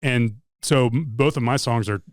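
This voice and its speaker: Smooth-voiced man chatting loosely. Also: medium pitch, normal speed, no echo, studio quality. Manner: loose, rambling explanations with frequent restarts. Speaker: band member. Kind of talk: casual interview chat.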